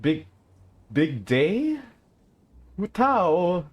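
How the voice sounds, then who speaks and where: A man's voice: high voice, sing-song, very slow, muffled recording. A man giving casual, joking reactions as a streamer playing through a game. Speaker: gaming streamer. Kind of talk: casual game playthrough commentary.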